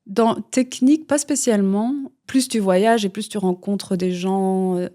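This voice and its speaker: A woman's voice: medium pitch, a little slow, mellow. A woman, a guest musician talking in a casual interview, rambling with many fillers and restarts. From Belgium.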